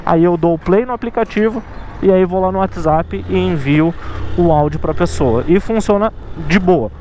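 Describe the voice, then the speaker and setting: A man's voice: high voice, normal speed, dark tone, a little hiss or hum. A Brazilian man giving a casual, conversational tutorial to his viewers, often checking in with short tag questions. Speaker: motorcycle courier video host.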